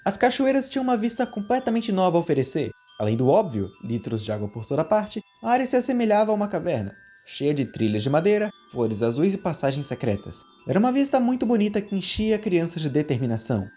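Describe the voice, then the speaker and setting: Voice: medium pitch, big pitch swings, fast, few pauses, mellow. A narrator reading a scripted story in a storytelling style. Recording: music behind the voice.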